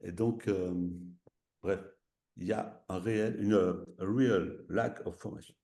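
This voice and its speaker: Slow hesitant French-accented man, gravelly. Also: fairly low pitch, steady tone, dark tone, reverberant. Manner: hesitant, many fillers and restarts, slips into French. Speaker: visiting professor speaking on a webinar. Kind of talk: halting webinar talk in a second language.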